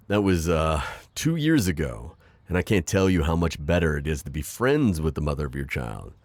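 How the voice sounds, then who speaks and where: A man voicing a gentle, self-deprecating divorced construction-worker dad in an ASMR roleplay, hesitant and stammering with soft jokes. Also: very deep voice, very animated, moderate pace, no room sound, full character voice. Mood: shy, flirty. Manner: hesitant, full of ums and stammers, joking softly. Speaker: voice actor.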